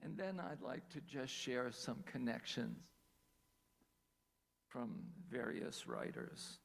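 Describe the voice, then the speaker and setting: A man's voice: medium pitch, very animated, slow, creaky, fairly bright tone. A man from Pennsylvania giving a talk over a video call as a storyteller, lingering on single words, with playful asides. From Pennsylvania, USA.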